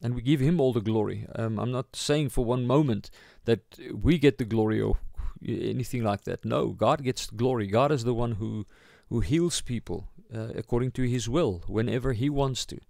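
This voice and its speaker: A man with a South African accent giving an informal sermon as a pastor, halting as he searches for words. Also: mid-range voice, vocal fry, darkish tone, dry recording, clean studio sound. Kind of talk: informal sermon, Bible teaching.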